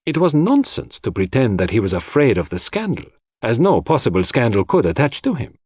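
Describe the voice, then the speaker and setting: A man's voice: medium pitch, very animated, a little fast, darkish tone, husky, no echo, studio quality. A man voicing a shrewd, self-assured foreign detective who explains in a theatrical, didactic way in an audiobook. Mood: explaining, assured. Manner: theatrical, didactic, sprinkles French phrases.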